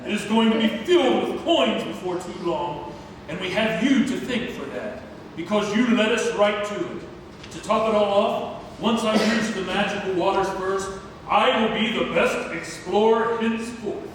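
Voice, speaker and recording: Man playing a high-pitched pompous rival explorer, echoey hall. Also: normal speed, natural voice. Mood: smug. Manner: haughty, theatrical. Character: pompous, greedy rival explorer and treasure hunter. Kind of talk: stage play table read. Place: theatre room, recorded from far off. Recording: distant echoey hall with faint noise.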